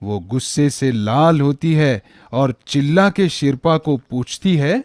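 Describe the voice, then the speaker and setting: A man's voice: medium pitch, slow pace, darkish tone, dry recording, studio quality. A man narrating a scripted fairy tale for children.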